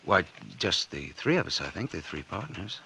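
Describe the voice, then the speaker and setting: A man's voice: sits low, sing-song, moderate pace, vocal fry, sharp tone, a little hiss or hum, exaggerated voice. An actor playing a cold-blooded, scheming businessman in 1940s radio noir style, wry, calculating and dramatic. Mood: feigned surprise. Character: scheming cold-blooded businessman narrating his crime, feigning innocence. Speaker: actor narrating and playing the lead. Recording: vintage 1940s radio recording with music.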